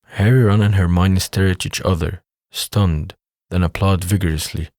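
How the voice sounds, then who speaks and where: A man's voice: sits low, fairly even intonation, normal speed, a little mellow, no echo, studio quality. A man reading scripted narration for an audio drama as its narrator.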